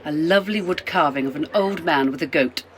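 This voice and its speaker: A woman's voice: a little fast, close to an everyday voice. A woman playing a polite, talkative, slightly apologetic British middle-class traveller in a radio play. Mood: chatty. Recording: faint noise, train rumble.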